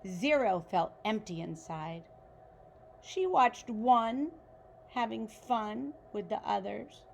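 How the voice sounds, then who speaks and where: A woman reading a children's picture book aloud like a teacher, expressively and deliberately, with questions to the listeners. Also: a little high, gravelly, a little hiss or hum. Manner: animated, deliberate, pauses to ask listeners questions.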